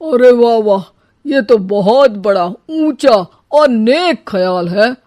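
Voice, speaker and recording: Woman playing a slow, gullible family elder. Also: on the high side, lively intonation, darkish tone, no echo, clean studio sound. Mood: impressed. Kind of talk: cartoon dialogue, Hindi moral story.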